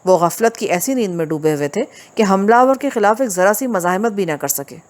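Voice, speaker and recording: A woman narrates a crime novel. Her voice sits at an average pitch for a woman. The delivery is that of scripted audiobook reading. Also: expressive, brisk, darkish tone.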